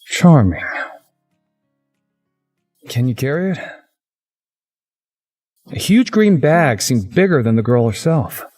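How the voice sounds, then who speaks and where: A man's voice: mid-range voice, very animated, takes it slowly, pauses often, darkish tone, soft background music. A man voicing a haunted, wry young man who narrates a visual novel game in a dramatic, introspective way. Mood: dry narration.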